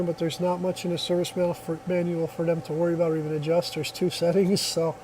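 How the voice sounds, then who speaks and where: A man's voice: sits high, dark tone, slight background noise, rough recording. A man giving a casual hands-on product demonstration in a plainspoken, folksy, reassuring way, recorded on a phone.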